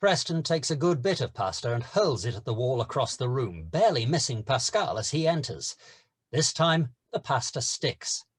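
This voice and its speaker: A man's voice: mid-range voice, very animated, normal speed, breathy edge. A man reading stage directions as narrator in a scripted screenplay table read.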